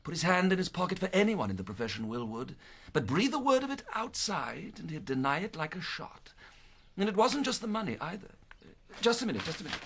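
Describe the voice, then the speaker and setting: A genial Victorian charity official in a radio play, folksy and confiding. His voice sits fairly high and swings up and down in a lively way. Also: vocal fry, a little hiss or hum, full character voice. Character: chatty, genial man at an actors' charity fund. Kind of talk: radio drama acting.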